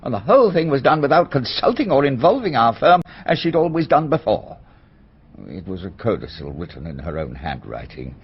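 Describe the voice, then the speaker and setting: An actor playing a reserved middle-aged British solicitor in a radio drama, speaking formally, precisely and guardedly. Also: male voice, mid-range voice, very animated, fairly quick, husky, full character voice. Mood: dry, explanatory. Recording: slightly muffled radio drama recording.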